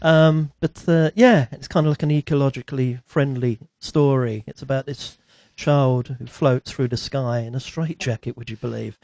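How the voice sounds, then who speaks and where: A man's voice: mid-range voice, steady tone, moderate pace, few pauses, darkish tone, no room sound. A man speaking as a guest in a radio studio, explaining things casually and a little hesitantly.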